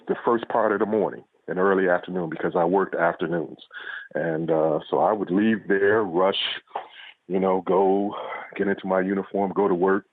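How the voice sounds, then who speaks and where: Middle-aged male author guest, low-pitched, casual storyteller, band-limited radio-like sound. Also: dry recording. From United States. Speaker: author being interviewed. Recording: dull, lo-fi radio-like line.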